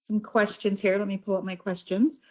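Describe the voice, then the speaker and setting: Halting middle-aged woman coach. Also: medium pitch, flat delivery, rough recording. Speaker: parent coach. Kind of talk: online meeting chat.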